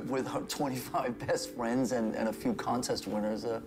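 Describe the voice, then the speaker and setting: A man's voice: mid-range voice, expressive, slow, music in the background. A man answering an interview question hesitantly, with fillers. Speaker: interviewed musician.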